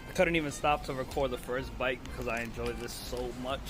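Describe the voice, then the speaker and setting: A man's voice: medium pitch, steady tone, fairly quick, loud music behind the voice. A man from Puerto Rico talking casually to his viewers as he tours and tastes things. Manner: chatty, addresses viewers directly. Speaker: travel vlogger. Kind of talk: casual travel vlog.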